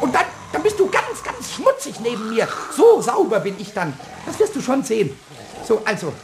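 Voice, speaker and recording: Squeaky childish little goblin, swoops all over, whiny and cheeky, repeats himself, old radio play. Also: male voice, noisy background, heavily acted. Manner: stammering, repeating words excitedly. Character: childish mischievous little male kobold, boastful and whiny.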